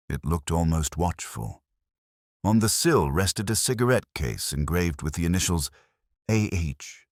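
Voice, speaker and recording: Man playing brooding older detective, very deep pitch, dry studio sound, measured and wry, literary mystery drama narration. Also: no echo, heavily acted. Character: brooding, world-weary male Oxford detective recalling an old case. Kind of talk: scripted first-person mystery audio drama narration.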